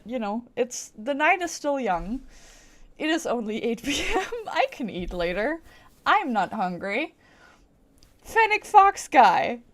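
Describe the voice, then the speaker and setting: A woman livestreaming a video game, chatting casually to viewers in a playful, rambling, giggly way. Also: fairly high pitch. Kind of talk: casual livestream chat with viewers while gaming.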